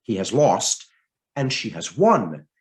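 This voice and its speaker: A man reading a scripted essay aloud as narration, with a theatrical, emphatic delivery and deliberate pauses. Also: fairly low pitch, sing-song. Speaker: reader of a political essay.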